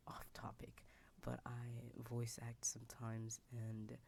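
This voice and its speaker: Young American woman, very low register, soft rambling ASMR whisper, close desk mic. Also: monotone, slow, creaky, slight background noise. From United States. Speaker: ASMR storyteller. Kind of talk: whispered ASMR roleplay storytelling. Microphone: desk mic lowered near a keyboard. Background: keyboard typing sounds.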